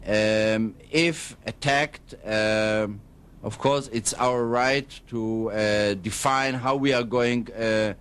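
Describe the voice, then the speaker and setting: Male spokesman guest, smooth and resonant, very slow, formal, halting delivery. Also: lowish voice, steady tone, fairly bright tone. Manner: halting, measured, hesitates very often. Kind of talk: formal diplomatic radio interview answers.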